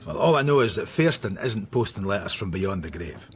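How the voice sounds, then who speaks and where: Sardonic police inspector, animated pitch. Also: male voice, fairly quick. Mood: wry. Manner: gruff, dry, sardonic. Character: weary, sardonic middle-aged male police inspector. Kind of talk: radio drama acting.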